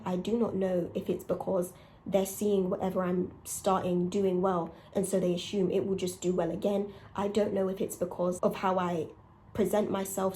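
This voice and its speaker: Raspy, mellow young woman chatting candidly. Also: mid-range voice, a little hiss or hum. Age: young adult. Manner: candid, conversational, emphatic with rhetorical questions. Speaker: podcast host and content creator. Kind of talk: casual confessional solo chat.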